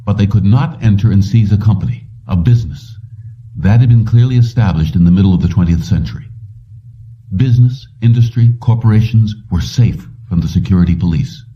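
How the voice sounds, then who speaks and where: A man reading a science fiction story aloud as scripted audiobook narration. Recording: distant, dull low-fidelity audio.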